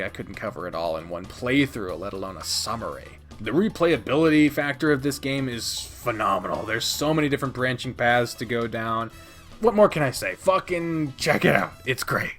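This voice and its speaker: A man's voice: mid-range voice, fairly bright tone. Casual, emphatic man over background music. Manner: informal, freely swearing, emphatic. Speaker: video host, visual novel reviewer. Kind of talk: casual scripted top-ten countdown commentary.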